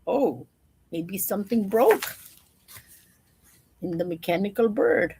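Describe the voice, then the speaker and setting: Slow-paced woman reading children's stories. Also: mid-range voice, expressive, vocal fry, dark tone, slight background noise. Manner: explanatory, addressing young listeners. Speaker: storyteller. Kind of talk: children's storybook read-aloud with simple explanations.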